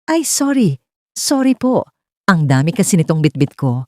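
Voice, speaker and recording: Woman acting a flirty male supervisor, easygoing, teasing, lively swooping intonation, romance audio story. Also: on the low side, normal speed, no room sound, clean studio sound. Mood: flustered, apologetic. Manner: breezy, teasing.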